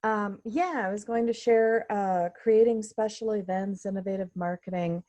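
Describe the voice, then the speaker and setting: A woman's voice: medium pitch, normal speed, warm tone. A woman taking part in an online workshop, casually sharing ideas off the cuff. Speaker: workshop participant.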